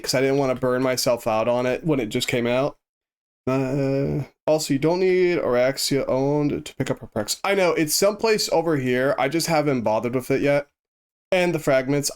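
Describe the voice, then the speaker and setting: A man's voice: mid-range voice, clear, resonant tone. A man streaming live, chatting casually with viewers in a rambling, easygoing way while he plays, his voice congested and a bit sniffly. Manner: rambling, easygoing, thinks aloud with many restarts.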